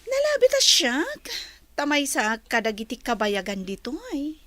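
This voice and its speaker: A woman's voice: fairly high pitch, wildly swooping pitch, moderate pace, sharp tone, dry recording, heavily acted. A woman playing a boastful, teasing office worker in a radio-style drama.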